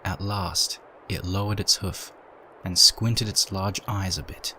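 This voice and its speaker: A man reading a dark story aloud in a measured, dramatic narration. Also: low voice, steady tone, a little hiss or hum.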